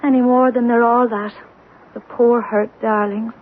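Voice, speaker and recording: An actress playing a forthright, tender young Irish nurse's helper in an old-time radio romance, with an Irish accent. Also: female voice, fairly high pitch, level tone, a little slow. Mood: wistful. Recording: tinny, hissy old radio broadcast.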